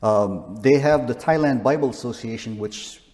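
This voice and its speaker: A man's voice: medium pitch, moderate pace, a little mellow, long reverb tail. A man in his sixties, a missionary, talking casually in an interview with frequent restarts. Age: 60s. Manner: rambling, frequent restarts and chuckles.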